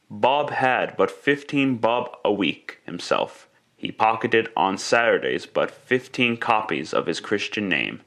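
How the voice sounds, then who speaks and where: A man's voice: fairly low pitch, a bit bright, heavily acted. A man narrating a classic radio drama in a theatrical, emphatic storytelling style.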